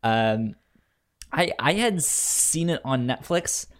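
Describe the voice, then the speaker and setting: A man's voice: medium pitch, expressive, takes it slowly. A man hosting a casual podcast, often stammering and repeating his words. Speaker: podcast host.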